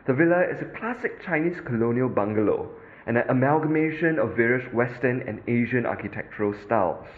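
Lively Singaporean man guiding a tour. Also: mid-range voice, narrow, tinny audio. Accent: Singaporean English. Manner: instructive, lively, with occasional fillers. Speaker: podcast host and audio tour guide. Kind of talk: scripted audio tour narration.

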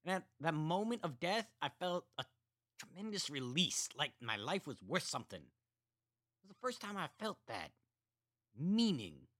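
A man doing Sven, a calm, eerie killer. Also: a little high, a little slow, dry recording, studio quality, pitched above the performer's own voice, exaggerated voice. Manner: matter-of-fact, eerily calm confession. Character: adult man, soldier, calm unsettling killer.

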